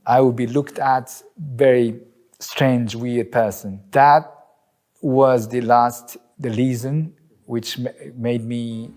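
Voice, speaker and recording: A man from Japan with a Japanese accent, an imam speaking earnestly and reflectively in a testimony interview, sometimes pausing to search for words. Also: medium pitch, steady tone, darkish tone.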